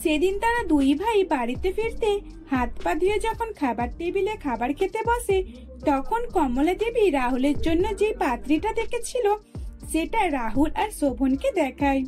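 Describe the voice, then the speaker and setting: High-voiced woman narrating a cartoon story. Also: sing-song, normal speed, darkish tone, soft background music.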